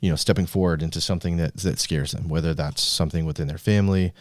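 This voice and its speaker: A man's voice: deep voice, steady tone, quick pace, no echo, studio quality. A man hosting a motivational podcast in a conversational, informal way. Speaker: podcast host. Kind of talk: casual motivational podcast talk.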